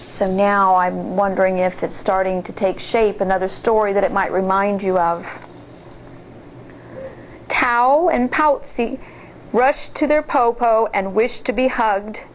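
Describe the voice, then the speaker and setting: Lady teacher doing storytime for kids, slow pace, explains as she goes, slightly dull audio. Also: medium pitch, a little hiss or hum. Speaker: elementary school teacher, story reader. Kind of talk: storybook read-aloud with asides to children.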